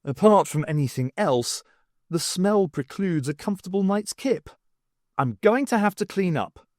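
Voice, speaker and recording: A man voicing a wry, weary, self-aware woman adventurer in an audiobook, brisk and exasperated. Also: high-pitched, animated, a little mellow, dry recording, clean studio sound, voice pushed up. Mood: resigned.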